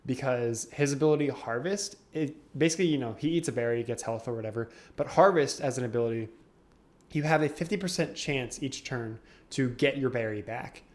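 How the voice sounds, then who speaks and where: A man's voice: mid-range voice, fairly quick. A young man, co-host of a casual podcast, explaining game mechanics at length and laughing often. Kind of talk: casual chat.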